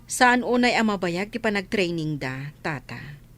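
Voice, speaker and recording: An actress playing a calm, kindly, reassuring nun in a radio drama, with a gentle manner and Filipino accent. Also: female voice, fairly low pitch, measured pace.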